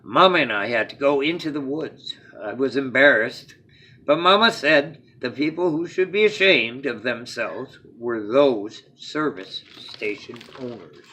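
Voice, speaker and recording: A man reading a children's storybook aloud as a bedtime story, with dramatic phrasing that opens emphatically and falls to a hush at phrase ends. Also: medium pitch, animated, unhurried.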